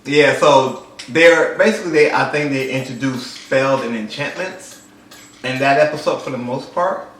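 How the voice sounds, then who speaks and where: A young man co-hosting a casual TV review chat, playful and quick to laugh, repeating his lines. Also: medium pitch, a bit bright, reverberant, distant mic, slight background noise. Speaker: co-host reviewing a TV episode.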